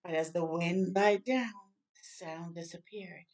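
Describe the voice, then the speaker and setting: Slow-paced woman reading aloud to children. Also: fairly low pitch. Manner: animated asides and questions to young listeners. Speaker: children's storytime reader. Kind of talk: expressive read-aloud storytelling for children.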